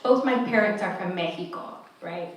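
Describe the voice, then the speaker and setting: A woman's voice: on the low side, moderate pace, slight background noise. Earnest woman panelist in an echoey hall. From California, USA. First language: Spanish. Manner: earnest and heartfelt, many restarts. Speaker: panelist, math educator. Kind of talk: panel discussion. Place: large echoey event hall. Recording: echoey hall, mic far off.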